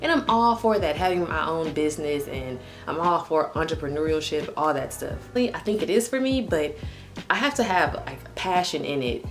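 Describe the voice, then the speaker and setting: A woman's voice: deep voice, lively intonation, moderate pace, faint music behind. A woman in her late twenties talking candidly to camera in a casual vlog, full of "like" and "um". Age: 20s. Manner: candid and chatty, heavy use of "like" and "um". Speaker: vlogger. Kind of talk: casual personal talk to camera.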